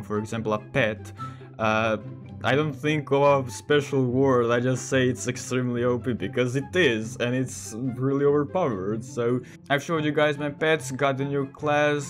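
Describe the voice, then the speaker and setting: A teenage male gaming host, not a native English speaker, talks in a mid-pitched voice. He chats casually through his gameplay, rambling and correcting himself as he goes. Music plays clearly behind his voice. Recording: voice under loud game music.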